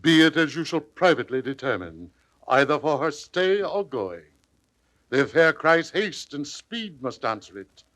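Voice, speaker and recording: An authoritative ruler in a classical audio drama. His fairly high voice is animated and varied in pitch. He gives orders with formal courtesy and theatrical declamation. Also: moderate pace. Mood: commanding, urgent. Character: authoritative male ruler, brisk, courteous statesman.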